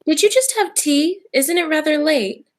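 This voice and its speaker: A young woman acting a gentle, dainty elderly aunt in a high school radio play, mild and genteel. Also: animated, normal speed.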